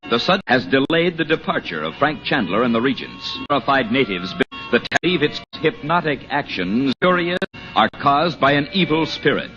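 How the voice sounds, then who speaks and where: A man's voice: medium pitch, very animated, normal speed. A man announcing and narrating in scripted 1940s radio style, dramatic and emphatic. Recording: muffled old radio recording, music behind. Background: music.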